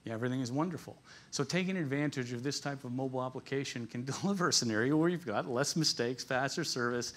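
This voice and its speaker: A man's voice: mid-range voice, fast talker. Conversational man presenting at a business conference. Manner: conversational, jokey storytelling, chuckles at his own asides.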